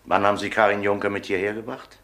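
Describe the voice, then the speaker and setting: An actor playing a persistent police inspector in a crime radio drama, putting terse, probing questions. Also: male voice, on the low side, little pitch movement, fast talker, a bit bright, close to an everyday voice. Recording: faintly noisy old radio drama recording.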